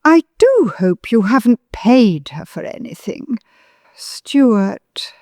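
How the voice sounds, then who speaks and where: A woman's voice: on the high side, sing-song, takes it slowly, a little mellow, dry recording, clean studio sound. A woman playing a haughty, disapproving older mother-in-law in an audio drama, pompous and full of righteous insinuation. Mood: disdainful, insinuating.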